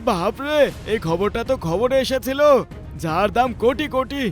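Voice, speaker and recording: A man's voice: very high-pitched, expressive, moderate pace, soft background music. A man voicing a nervous, suspicious landlord uncle in a cartoon. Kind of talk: Bengali cartoon voice acting.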